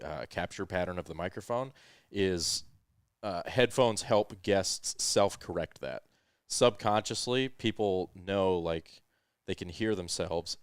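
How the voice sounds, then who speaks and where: A man with a smooth, very deep voice talks through podcast gear in a laid-back, meandering way, often pausing on ums and uhs. He speaks into a headset microphone, and the sound is close and dry with no room around it. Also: no room sound. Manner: relaxed, rambling explanations with frequent ums and uhs. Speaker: podcast host. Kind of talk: casual explanatory tech chat. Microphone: headset microphone with headphones.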